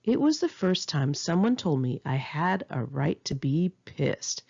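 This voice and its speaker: Expressive, gravelly woman reading. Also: sits low, a little slow, lo-fi sound. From United States. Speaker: author reading own memoir. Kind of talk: memoir audiobook reading.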